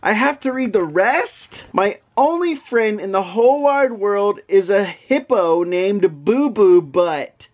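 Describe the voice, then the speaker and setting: An exasperated reader stuck with a silly children's storybook, acted out by a man. The delivery is playful and reluctant, full of mock protest, with words slowly stretched out for effect. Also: sits high, animated, muffled recording. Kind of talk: animated children's storybook reading.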